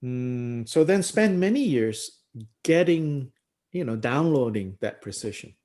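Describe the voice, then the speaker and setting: A middle-aged man from Malaysia, a Buddhist teacher talking through ideas in a thoughtful, unhurried way, with many pauses, "you know"s and frequent chuckles. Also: medium pitch, expressive, darkish tone. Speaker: Buddhist teacher leading a book study. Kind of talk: reflective discussion talk.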